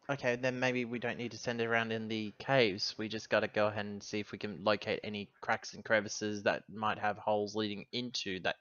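A man playing a tabletop roleplaying game, talking casually and thinking aloud as he deliberates and repeats himself. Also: fairly low pitch, fairly bright tone, dry recording, dull audio.

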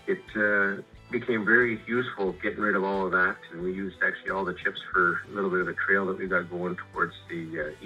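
A middle-aged Canadian man chatting casually and haltingly about his projects over a muffled phone call, rambling and repeating words. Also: low-pitched, bright, forceful tone. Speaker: homeowner and sawmill customer. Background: music.

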